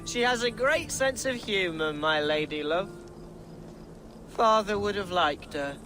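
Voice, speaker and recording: A man's voice: high voice, expressive, unhurried, sharp tone, full character voice. An actor playing an earnest, tipsy young Saxon nobleman, eager and wordy in a dramatic video game style. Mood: tipsy, amused. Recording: music behind the voice.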